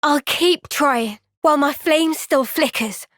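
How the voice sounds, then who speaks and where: A woman playing an earnest, gentle young soldier heroine in a fantasy video game, soft-spoken, sincere and thoughtful. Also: high voice, slow, no room sound, clean studio sound. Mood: wistful, earnest. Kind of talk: video game cutscene voice acting.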